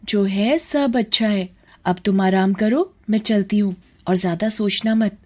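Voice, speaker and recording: A woman voicing a responsible, caring young daughter in a story, speaking in a gentle, comforting way. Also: mid-range voice, unhurried, lightly acted.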